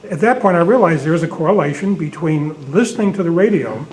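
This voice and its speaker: A man's voice: a little high, lively intonation, quick pace, darkish tone. An older man telling an informal anecdote from the audience at a club meeting. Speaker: audience member, radio show dealer. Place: meeting room. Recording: faintly noisy meeting room.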